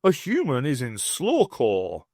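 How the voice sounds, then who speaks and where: A man's voice: mid-range voice, huge pitch swings, takes it slowly, warm tone, no room sound, clean studio sound, heavily acted. Man voicing a stiff, literal alien warrior. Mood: stern, declarative. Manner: clipped, formal, declarative speech.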